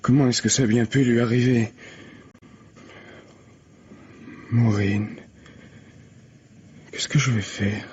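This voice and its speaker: An actor playing an eager, nervous, self-absorbed writer in a vintage radio mystery, stammering and hesitant. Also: male voice, mid-range voice, steady tone, unhurried, a little mellow, a little hiss or hum, close to an everyday voice. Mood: shocked, subdued. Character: nervous, eager, self-absorbed struggling young male playwright. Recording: dull, lo-fi old radio recording.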